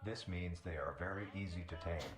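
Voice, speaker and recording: Low-voiced man narrating a documentary. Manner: measured, formal, detached. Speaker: narrator of a mock nature documentary video. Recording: roomy echo with music. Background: music.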